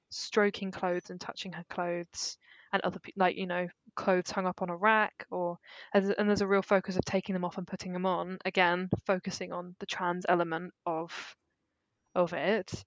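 A woman's voice: fairly low pitch, no room sound, rough recording. Chatty female podcast co-host. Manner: chatty, emphatic, quick to laugh. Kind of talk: casual film discussion.

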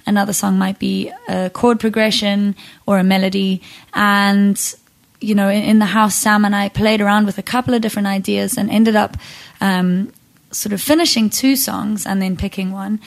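A woman's voice: mid-range voice, fairly even intonation, moderate pace, gravelly. A young woman from Zimbabwe, a singer-songwriter, talking casually in an interview, thoughtful and full of ums and restarts.

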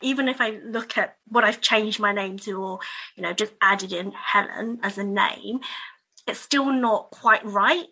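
A woman guest on a podcast speaking reflectively, with soft laughs. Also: medium pitch, measured pace, slightly rough, rough recording. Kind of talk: podcast conversation.

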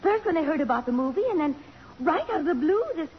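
Gushy fluttery matron, high swoopy voice, old radio drama. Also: female voice, expressive, fast. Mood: flustered, gushing. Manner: effusive, exclamatory. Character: fluttery, gushing, good-hearted alumni society matron. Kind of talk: 1950s radio drama acting. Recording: muffled, noisy old radio broadcast.

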